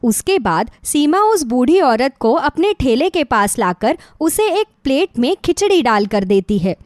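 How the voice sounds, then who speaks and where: A woman's voice: high-pitched, big pitch swings, a little slow, darkish tone, no room sound, clean studio sound. A woman reading scripted narration for a children's moral story.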